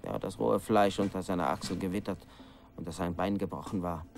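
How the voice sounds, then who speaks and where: An actor playing a village storyteller who recounts a grim legend in a radio drama, grave and suspenseful. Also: male voice, deep voice, steady tone, brisk, vocal fry, soft background music. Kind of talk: dramatic narration in a radio play.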